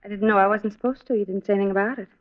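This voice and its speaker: A woman's voice: medium pitch, steady tone, very fast, lightly acted. An American woman playing a polite, busy young householder in a 1950s radio drama. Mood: polite, guarded. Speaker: witness in a police drama. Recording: muffled 1950s radio recording.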